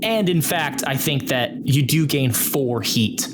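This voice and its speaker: A man working as game master, narrating an improvised tabletop game in a measured, deliberate way. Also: medium pitch, animated, background noise. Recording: prominent music bed behind the voice. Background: loud background music.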